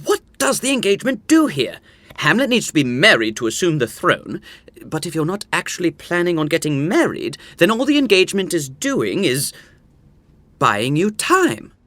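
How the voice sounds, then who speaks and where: An arch, flamboyant schemer in a romantic comedy audio drama. His pitch swings extravagantly as he condescends to everyone around him. The sound is dry and intimate. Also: sing-song, normal speed, fairly bright tone, dry recording. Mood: gleeful scheming. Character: theatrical, smug, meddling older brother and self-appointed schemer.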